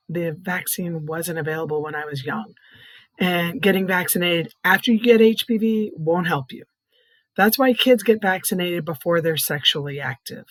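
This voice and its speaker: Soft, hoarse older woman. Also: fairly low pitch, no echo, low-quality recording. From Iowa, United States. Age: middle-aged or older. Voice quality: soft, hoarse, breathy voice from vocal cord growths. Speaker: patient advocate, child care nurse consultant. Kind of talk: personal testimony, explanatory talk.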